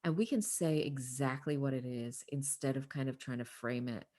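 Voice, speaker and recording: A woman's voice: very deep voice, moderate pace, mellow. A woman talking through Bible stories in conversation, earnest and emphatic. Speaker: podcast discussion partner.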